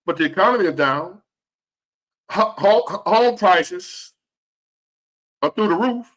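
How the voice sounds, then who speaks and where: A man in his fifties giving earnest, preachy motivational talk to his viewers, repeating key phrases and swinging between loud and hushed. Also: high-pitched, animated, slow pace, poor audio. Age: 50s. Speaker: motivational vlogger.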